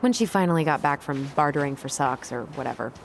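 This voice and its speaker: Young American sister, dry understated teasing, guarded, lowish pitch, video game dialogue, outdoor ambience. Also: female voice, lively intonation, fast talker, vocal fry, slight background noise. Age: young adult.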